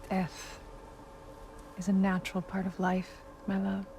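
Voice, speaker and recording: A woman playing a calm, wise, loving wife in a game cutscene, gentle and measured with a light tease. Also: medium pitch, gravelly, a little hiss or hum. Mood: tender, teasing. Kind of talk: intimate video game cutscene acting.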